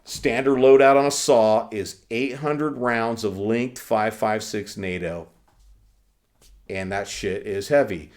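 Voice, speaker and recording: A man's voice: on the low side, fairly even intonation, slow, a little mellow. A young man hosting his own channel, sick and losing his voice, talking casually and profanely to camera through a condenser mic in a room with poor acoustics. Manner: loose, profane, opinionated. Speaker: firearms channel host. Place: indoor lounge room.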